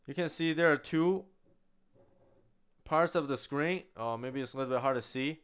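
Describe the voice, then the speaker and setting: A man with a smooth, resonant voice talks through a product demo in a relaxed, chatty way. He keeps posing questions and answering them himself, with small laughs along the way. The recording is slightly dull and lo-fi. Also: medium pitch, normal speed. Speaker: product demonstrator. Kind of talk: casual product demonstration.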